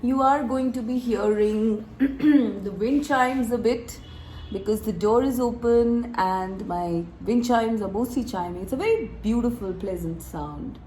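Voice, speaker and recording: A woman recovering from a cold, reading tarot to her viewers in a chatty, relaxed way, laughing and clearing her throat often. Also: fairly high pitch, measured pace, slight background noise, rough recording. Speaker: tarot reader. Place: room with door open, wind chimes outside.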